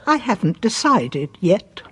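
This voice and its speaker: An actress playing a frail, genteel elderly lady in a radio drama, with a husky, breathy voice and a fussy, faltering manner. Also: medium pitch, sing-song, measured pace. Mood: taunting, knowing. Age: older.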